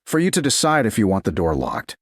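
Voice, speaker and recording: A commanding, intense duke from a medieval romance, voiced by a male narrator. He speaks tersely and with control in a low, husky voice, recorded close and dry. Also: wildly swooping pitch, fairly quick, no echo. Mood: calm, certain.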